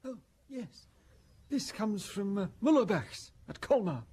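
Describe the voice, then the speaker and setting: High, husky button maker. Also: male voice, big pitch swings, measured pace, darkish tone, full character voice. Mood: helpful. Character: helpful, mild button maker, man. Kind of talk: radio drama acting.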